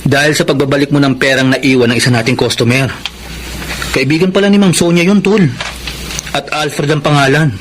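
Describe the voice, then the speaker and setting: An actor plays a young waiter, chatty and frank with his pal. His voice is slightly high, in Filipino radio drama style, with a little background noise. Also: a little fast, natural voice. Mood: excited gossip. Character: chatty young male waiter, frank, money-minded friend.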